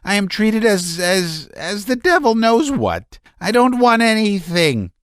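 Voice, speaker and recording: A man reading aloud, voicing a hysterical young man who shouts and stammers in a high strained voice. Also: expressive, a little slow, clear, resonant tone, no echo, studio quality. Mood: agitated. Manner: shrill, stammering, near-shouting.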